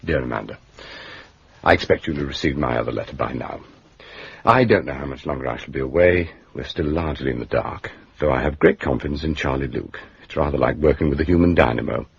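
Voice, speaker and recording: An actor with an English accent playing a courteous, wry gentleman detective in a radio drama, understated and politely hesitant. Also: very deep voice, a little fast, creaky, breathy edge, no echo, highs cut off, full character voice. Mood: reflective, affectionate. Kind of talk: British radio drama acting.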